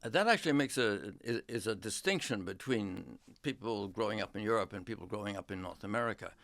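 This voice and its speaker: An older man from England, a history professor, recalling his life in a relaxed interview with an unhurried, reflective manner. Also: lowish voice, gravelly. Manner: unhurried, reflective storytelling with many pauses.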